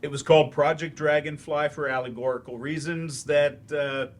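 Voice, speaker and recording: A man's voice: a little high, fairly even intonation, moderate pace, lightly acted. Man acting a wry, weary English teacher. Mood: weary, defensive. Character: weary male writer turned remedial English teacher, wry and awkward. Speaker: actor in a staged play reading. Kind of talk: live stage play reading.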